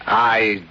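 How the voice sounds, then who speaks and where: An actor playing a courteous but skeptical professor of science in a radio drama, speaking in a polite, dryly dismissive way. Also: male voice, on the low side, sharp tone, exaggerated voice. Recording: muffled vintage radio drama recording.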